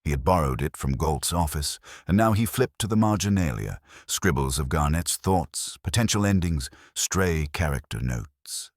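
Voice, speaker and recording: A man's voice: extremely low pitch, expressive, moderate pace, hardly any pauses, no echo, clean studio sound. A man reading a detective story as scripted narration. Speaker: audiobook narrator.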